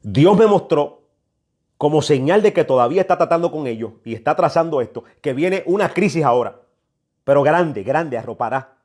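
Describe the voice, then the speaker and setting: A man's voice: fairly high pitch, expressive, normal speed, a little mellow. A man preaching a prophetic message, emphatic and admonishing, often repeating his phrases for weight. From New York, United States. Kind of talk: prophetic sermon, exhortation.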